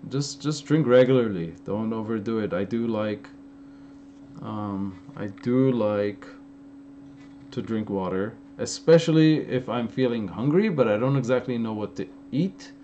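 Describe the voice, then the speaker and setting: A man's voice: on the low side, lively intonation, unhurried, mellow. A young man from Los Angeles with an Armenian-influenced American accent, answering live chat questions in a loose, rambling way with lots of fillers and restarts. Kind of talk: casual live-stream Q&A. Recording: slightly dull, lo-fi stream audio with faint noise.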